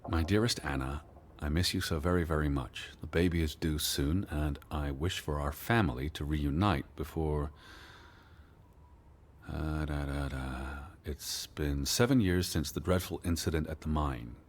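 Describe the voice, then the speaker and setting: A man's voice: rumbling low, unhurried. An actor playing a weary, earnest middle-aged man in a video game, speaking in a hesitant, careful, subdued way. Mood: absorbed, hesitant. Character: weary, earnest man searching for his missing sister. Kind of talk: naturalistic video game voice acting.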